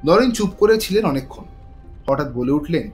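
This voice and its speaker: A man's voice: a little high, animated, moderate pace, soft background music. A man narrating a scripted thriller story in a dramatic style.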